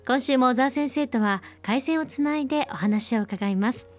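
A woman's voice: high voice, normal speed, soft background music. A woman hosting a radio show, introducing the guest in a polite, formal way. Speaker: radio host.

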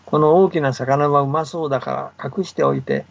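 A man's voice: fairly high pitch, steady tone, moderate pace. A man telling of a greedy, bad-tempered crow. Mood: scheming. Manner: gruff, blustering demands. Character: greedy, gluttonous male crow, quick to anger. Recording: muffled remote line.

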